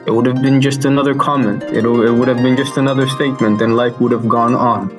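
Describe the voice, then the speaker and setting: A man in his thirties from Finland, answering interview questions thoughtfully and pausing often with fillers. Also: mid-range voice, steady tone, music in the background. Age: 30s. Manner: thoughtful, with many fillers and restarts. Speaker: guest.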